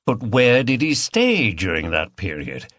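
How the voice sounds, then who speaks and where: Man voicing a bright-toned, commanding senior detective. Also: medium pitch, wildly swooping pitch, unhurried, no room sound, studio quality, full character voice. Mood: thoughtful. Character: senior male police detective, thoughtful, brisk, commanding.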